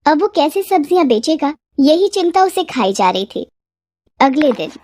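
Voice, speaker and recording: A woman's voice: high-pitched, very animated, moderate pace, darkish tone, dry recording, clean studio sound. A woman narrating a scripted moral story as its storyteller.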